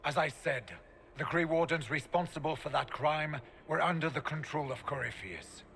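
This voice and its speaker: An actor playing a proud, honourable warrior of a sworn order in a fantasy video game, formal and grave. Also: male voice, a little high, normal speed, creaky, a bit bright, slight background noise. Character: proud, honourable male Grey Warden warrior. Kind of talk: dramatic video game acting.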